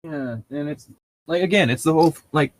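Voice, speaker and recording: A man's voice: on the high side, fairly quick. A man chatting casually with friends and reading amateur fiction aloud in a sweary, exasperated way. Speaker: podcast host reading fan fiction with friends.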